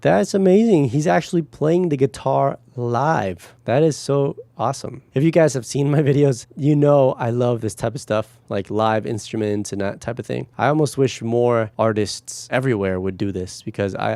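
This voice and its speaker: A man's voice: mid-range voice, moderate pace, vocal fry, warm tone, dry recording. A man from Guatemala, a music producer, talking casually as he reacts to songs and explains production techniques, humming beats along. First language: Spanish.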